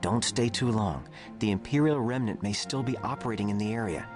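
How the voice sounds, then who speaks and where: A man voicing a calm, earnest Jedi master giving formal briefings in a video game. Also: fairly low pitch, a little fast, music in the background, lightly acted. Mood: calm authority.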